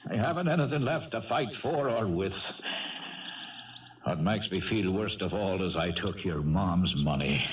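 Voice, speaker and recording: A man's voice: deep voice, expressive, measured pace, slight background noise. An actor playing a weary, ailing but stubborn horse trainer who tells his own story in a 1970s radio drama. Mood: defeated, resigned. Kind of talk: 1970s radio drama acting with first-person narration. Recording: muffled, distant 1970s radio broadcast with music.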